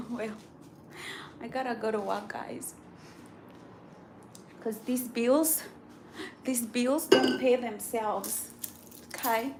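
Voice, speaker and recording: Slow, laughing East African woman streamer. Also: on the high side, noisy background. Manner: laughs a lot, teasing viewers' guesses. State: sore throat. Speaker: livestream host. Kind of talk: casual live chat with viewers.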